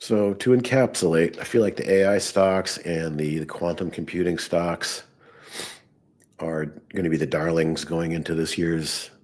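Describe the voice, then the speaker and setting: Low, husky-voiced man talking through trades. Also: fairly even intonation, unhurried, a little mellow. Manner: thinking aloud while working on screen. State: hoarse, losing his voice. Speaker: trading show host. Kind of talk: live trading commentary.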